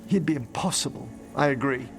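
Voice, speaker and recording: An actor playing a refined, reflective necromancer with measured, formal phrasing and a gently wry manner. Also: male voice, medium pitch, lightly acted. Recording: music behind the voice.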